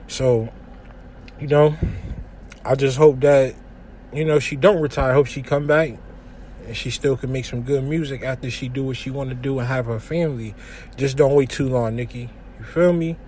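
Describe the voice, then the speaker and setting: A young man from hosting a casual solo podcast from his kitchen, giving news commentary in a relaxed, slangy way with lots of shout-outs. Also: mid-range voice, moderate pace, a little hiss or hum. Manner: laid-back slangy talk with frequent shout-outs. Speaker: podcast host.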